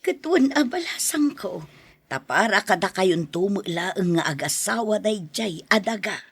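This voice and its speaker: Actress as older matriarch, podcast drama: husky, very animated delivery, advising, dry close sound. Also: female voice, medium pitch, a little slow, dry recording, exaggerated voice. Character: older mother, plain-spoken family matriarch. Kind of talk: podcast radio drama acting.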